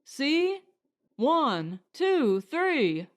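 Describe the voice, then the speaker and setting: A woman's voice: sits high, big pitch swings, drawn-out words, pitched above the performer's own voice, heavily acted. A woman voicing an eager young husband, a hopeful settler in a storybook, speaking emphatically. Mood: excited.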